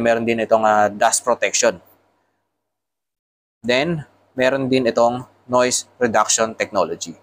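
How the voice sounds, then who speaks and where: A man's voice: mid-range voice, a bit bright. A man with a Filipino accent giving a casual product tutorial, explaining things step by step as a motovlogger. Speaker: motovlogger reviewing motorcycle intercoms.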